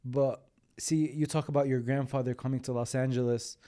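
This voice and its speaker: A man hosting a podcast, asking curious, halting questions in a casual interview. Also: medium pitch, fairly even intonation, mellow. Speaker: podcast host. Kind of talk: conversational interview.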